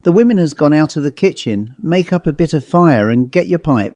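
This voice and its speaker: A man voicing a gruff, troubled country squire with a rough, blustering way of speaking. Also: fairly high pitch, lively intonation, a little fast, dark tone, no echo, highs cut off. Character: gruff, guilt-ridden male country squire.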